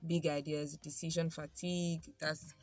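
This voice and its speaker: A podcast co-host in casual chat who reads from an article in a relaxed, drawling way with many ums. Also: mid-range voice, normal speed, no echo.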